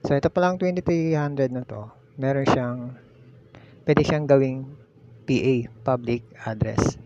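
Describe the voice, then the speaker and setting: A man's voice: mid-range voice, measured pace, mellow. A Filipino man hosting a casual step-by-step demonstration, explaining in an instructional way and repeating key steps. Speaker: tutorial host. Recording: muffled lo-fi audio with background noise.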